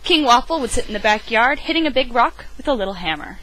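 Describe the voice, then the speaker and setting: A woman's voice: a little high, lively intonation, quick pace, fairly bright tone, low-quality recording. A woman giving a theatrical, emphatic read-aloud of a children's picture book, as an amateur storyteller on a webcam microphone.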